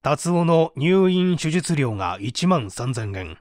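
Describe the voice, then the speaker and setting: A man voicing a stern, methodical prosecutor in a dramatic audiobook, formal and measured as he presses a suspect. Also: fairly high pitch, big pitch swings, no room sound, studio quality. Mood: probing.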